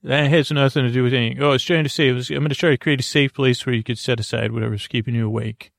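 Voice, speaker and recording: A man hosting a bedtime podcast, rambling softly off on tangents in lulling, creaky, dulcet tones. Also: medium pitch, steady tone, very fast, no room sound. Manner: meandering, tangent-prone, self-interrupting, drowsy and soft. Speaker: podcast host.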